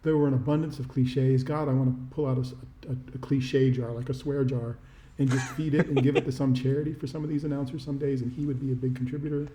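A man co-hosting a sports podcast, giving reflective, measured opinions in casual talk with stammered restarts, recorded in an echoey room. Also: mid-range voice, little pitch movement, background noise. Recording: muffled, echoey room sound.

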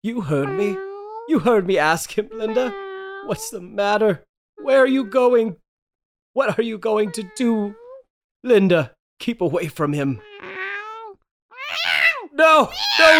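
A woman's voice: a little high, bright, forceful tone, full character voice. A woman playing a tormented, desperate spouse in an overwrought amateur reading of a radio horror melodrama. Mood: menacing, then horrified. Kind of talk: amateur table read of 1930s radio horror.

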